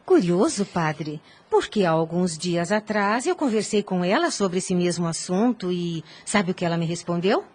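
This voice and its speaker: A woman playing a devout, gentle, weary mother in an audio drama, speaking formally and earnestly. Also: fairly low pitch, lively intonation, normal speed, hardly any pauses, recorded from a distance, natural voice. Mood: curious, courteous. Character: devout, gentle, ailing mother worn before her time.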